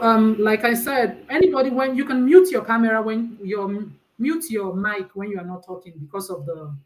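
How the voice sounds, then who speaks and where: A Nigerian woman hosts an online panel over a computer mic on a video call. She talks in a chatty, loose way and restarts her sentences often. Her voice sits at a middle pitch. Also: a little mellow, rough recording. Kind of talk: casual live-stream hosting.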